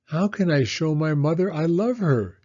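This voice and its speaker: A man's voice: a little high, animated, normal speed, warm tone, lo-fi sound, pitched above the performer's own voice. A man voicing an earnest, loving young boy turtle who asks questions in a children's storybook. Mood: earnest, puzzled.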